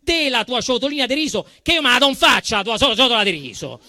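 A man's voice: extremely high pitch, expressive, fast, pushed and bright, dry recording, studio quality, voice pushed up, exaggerated voice. A man voicing an indignant, crude, food-obsessed Italian everyman in a comic monologue, with a Roman accent and blunt, aggrieved retorts.

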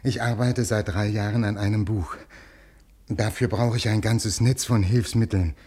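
An actor playing a desperate, worn-out scholar of fifty in a 1950s radio play, pleading earnestly in educated speech with a creaky, husky voice. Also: male voice, on the low side, fairly even intonation, moderate pace, mellow. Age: 50s.

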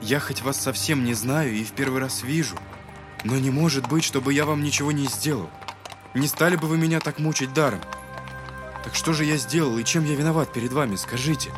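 Earnest, gentle young man played by an actor, music behind. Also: mid-range voice, a little fast, natural voice. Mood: gentle, puzzled. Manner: earnest, polite, pleading. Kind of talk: dramatized audiobook acting.

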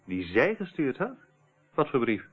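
A man's voice: mid-range voice, huge pitch swings, measured pace, slightly rough, exaggerated voice. An actor playing a smug, slippery criminal who feigns innocence in a radio play, theatrical in his delivery. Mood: puzzled. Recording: tinny, narrow-band old radio recording.

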